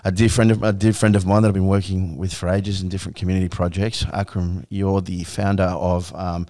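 A man's voice: low-pitched, level tone, fairly quick, mellow, dry recording. A man hosting a casual talk interview, speaking in a familiar way with Arabic religious phrases mixed in. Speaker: talk show host.

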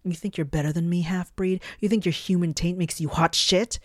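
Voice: mid-range voice, fairly even intonation, brisk, dry recording, studio quality, close to an everyday voice. A narrator voicing a furious, foul-mouthed female fairy warrior in an audiobook, hissing and roaring. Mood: bellowing rage.

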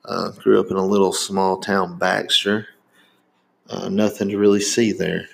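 Man, 30s, Southern accent, low flat voice, gravelly, rambling podcast chat. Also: a little slow. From Indiana, US. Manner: rambling, many fillers and restarts. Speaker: podcast host. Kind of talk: casual chat.

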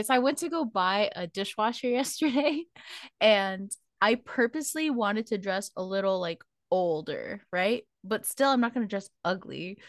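A woman in her late twenties shares stories as a podcast co-host. Her mid-pitched voice is recorded close and dry. She tells things animatedly and laughs often between phrases. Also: dry recording. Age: late 20s. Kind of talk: casual chat.

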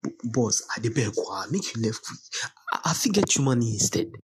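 A man's voice: mid-range voice, big pitch swings, a little fast, husky. A man acting an easygoing young boyfriend in an audio play, with a Ghanaian accent. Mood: frightened, pleading. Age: young adult. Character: easygoing, teasing young boyfriend, devoted to his mother. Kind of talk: dramatic dialogue in an audio play.

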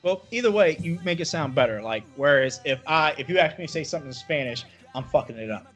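A man hosting a podcast, telling a story in a casual, animated and profane way. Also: on the high side, fast talker, soft background music. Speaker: podcast host. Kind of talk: casual chat.

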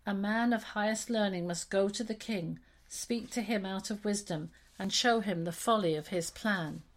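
A woman reading a calm, wise wife. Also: medium pitch, moderate pace, natural voice.

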